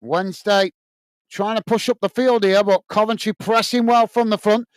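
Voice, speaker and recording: High-voiced British man calling a match. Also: moderate pace, clear, resonant tone, no echo. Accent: British English. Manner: steady running commentary, talks to viewers as guys. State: voice tired and going hoarse. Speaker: fan channel match commentator. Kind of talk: live watch-along play-by-play commentary.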